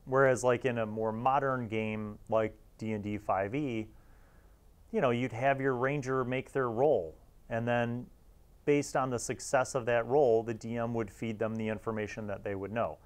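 A man's voice: medium pitch, steady tone, moderate pace, clean tone. A man in his forties hosting a casual review, talking conversationally with emphatic asides into a lapel mic. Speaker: host of a tabletop gaming review series. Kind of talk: casual review and commentary on a magazine.

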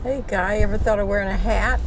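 A woman's voice: medium pitch, fairly quick. An American woman in her seventies chatting casually as a passenger, remarking on the sights with dry humour. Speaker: travel vlogger, passenger. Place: inside a moving car. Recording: steady car and road noise.

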